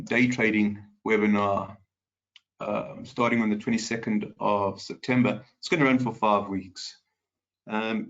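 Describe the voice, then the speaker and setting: A man's voice: lowish voice, muffled recording. Nasal, congested man hosting a webinar. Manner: chatty, greets viewers by name, frequent ums. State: congested from a cold. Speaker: market specialist hosting a webinar. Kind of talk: live webinar market commentary.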